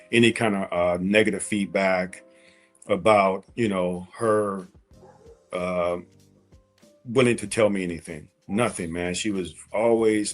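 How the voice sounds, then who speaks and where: A man in his forties speaking as an interview guest, candidly telling a personal story in a conversational way and repeating phrases for emphasis. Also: deep voice.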